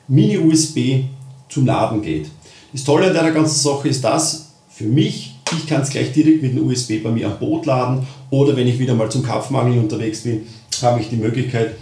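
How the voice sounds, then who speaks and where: A man hosting a casual product review, speaking deliberately with emphasis on key words. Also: mid-range voice, dark tone, a little hiss or hum. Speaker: host of a fishing channel. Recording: roomy echo, distant microphone.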